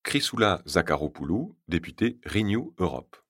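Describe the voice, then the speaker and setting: Very deep-voiced male narrator. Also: very animated, moderate pace, fairly bright tone, husky. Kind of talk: scripted documentary narration.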